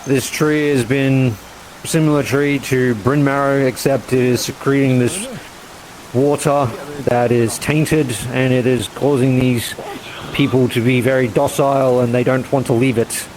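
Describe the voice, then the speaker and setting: A man's voice: medium pitch, steady tone, unhurried, a little mellow, slight background noise, close to an everyday voice, low-quality recording. A man playing a polite, busy official, explaining things a little formally in improvised fantasy game roleplay.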